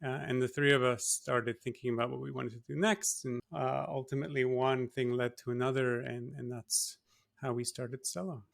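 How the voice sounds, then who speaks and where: A man's voice: mid-range voice, level tone, moderate pace, gravelly. A man from Singapore, a guest on an interview podcast, explaining his work in a thoughtful way with frequent fillers and restarts. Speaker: guest, tech company co-founder. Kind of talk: casual interview talk.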